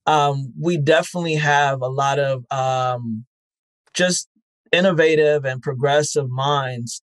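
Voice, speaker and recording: A man speaks as a podcast guest at a mid pitch, his voice dry and close with no room sound. He thinks aloud, circling back with fillers and restarts while making his points. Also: slow, fairly bright tone, dry recording. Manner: reflective, many fillers and restarts. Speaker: podcast festival founder, guest. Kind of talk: conversational interview answers.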